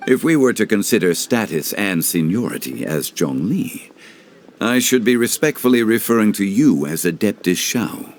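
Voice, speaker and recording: An actor playing a calm, wise, dignified gentleman, measured and formal, in an anime game dub. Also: on the low side, slight background noise, lightly acted. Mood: calm, reflective. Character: calm, wise, dignified ancient god living as mortal gentleman.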